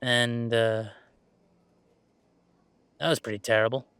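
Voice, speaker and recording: A man plays a young male werewolf gamer with an everyday, mid-pitched voice and an unhurried delivery. He talks casually and wryly, pausing as he goes, like one side of an intimate roleplay chat. The sound is close and very dry. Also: little pitch movement, a bit bright, smooth, dry recording. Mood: wistful, subdued. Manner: casual, halting, wry, conversational. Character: laid-back young male werewolf gamer, wry and chatty. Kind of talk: intimate roleplay audio, naturalistic one-sided conversation.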